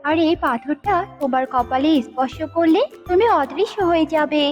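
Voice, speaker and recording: Lady doing a kind little fairy girl, super high sweet cartoon voice, music behind. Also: normal speed, highs cut off, natural voice. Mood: kind, reassuring. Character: kind young female fairy, gentle helper. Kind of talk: cartoon dubbing.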